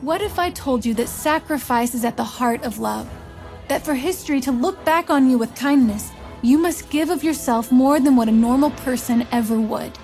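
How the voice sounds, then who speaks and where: A woman playing a solemn, commanding visionary mentor in a fantasy game, speaking in a measured, portentous, rhetorical way. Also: high voice, a little mellow, soft background music. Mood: solemn, persuasive. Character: solemn, commanding female visionary mentor recruiting chosen leaders. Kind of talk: scripted fantasy game voice lines.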